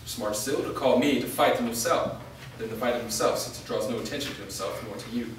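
A man's voice: medium pitch, brisk, natural voice. Grim, defiant wandering warrior played by a man for a stage drama, distant, heavily echoing room. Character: world-weary, defiant male wandering vampire fighter. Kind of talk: staged play reading. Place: large bare indoor room, staged reading. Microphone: distant camera. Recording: echoey room from far off, faint noise.

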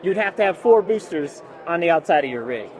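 A man in his forties giving a casual, keen product pitch as a company representative, explaining as he goes. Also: big pitch swings, brisk. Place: busy indoor expo hall booth.

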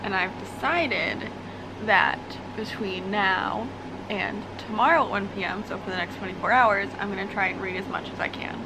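A woman's voice: mid-range voice, normal speed. A young woman vlogging casually about her reading from her bedroom, congested and groggy, rambling with fillers. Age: young adult. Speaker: book vlogger. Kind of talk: casual vlog chat. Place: small bedroom indoors. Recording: noisy home recording.

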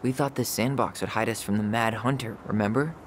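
A man's voice: lowish voice, brisk, a little hiss or hum, close to an everyday voice. An actor playing a wry, nostalgic young American man in naturalistic, casual video game dialogue. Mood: wistful, reflective. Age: young adult.

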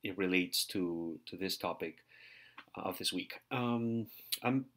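Man, 40s, very low voice, hesitant recorded lecture, frequent fillers. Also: unhurried, a bit bright. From Peru. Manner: hesitant, many fillers and repeated words. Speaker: teaching assistant. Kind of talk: recorded lecture over slides.

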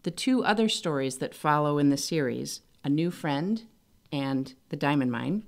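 A woman author reading her children's book aloud in an expressive storytelling style. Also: sits low, moderate pace, gravelly.

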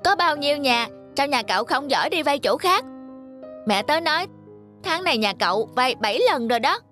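A woman's voice: high-pitched, expressive, normal speed, a bit bright, faint music behind. A woman voicing an outspoken, naive little village schoolboy in cartoon dialogue. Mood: blunt, scornful. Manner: blunt, argumentative.

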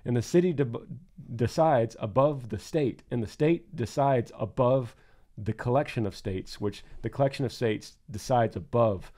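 Earnest man with a warm low voice. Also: moderate pace. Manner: earnest, emphatic and reflective. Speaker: podcast co-host. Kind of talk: casual podcast talk.

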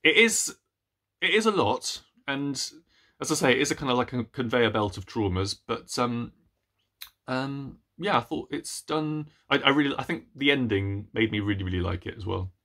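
A man in his thirties chatting casually to camera as a book vlogger, playful, rambling and animated, with self-deprecating asides. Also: mid-range voice, normal speed. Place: small room at home with bookshelves.

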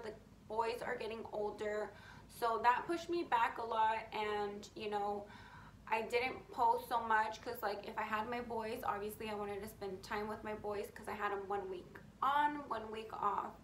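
A young woman with a deep, raspy voice, talking openly to camera from her bedroom in a casual, rambling way with lots of "you know". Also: fairly even intonation, normal speed, reverberant, slight background noise, rough recording. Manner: open, rambling, heartfelt, many fillers like "you know". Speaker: beauty influencer. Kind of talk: candid sit-down vlog to camera. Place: bedroom at home, indoors. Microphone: camera in front at close range.

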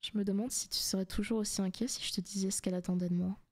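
Possessive female demon played by a woman, seductive ASMR roleplay: soft, intimate, teasing, frequent whispering. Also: medium pitch, steady tone, very fast, natural voice. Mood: sly, menacing.

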